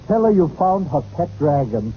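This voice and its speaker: Helpful, fairly high-voiced fellow. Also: male voice, very animated, moderate pace. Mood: earnest. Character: helpful, matter-of-fact man playing along with make-believe. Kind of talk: 1940s radio comedy acting. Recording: noisy, muffled old-time radio recording.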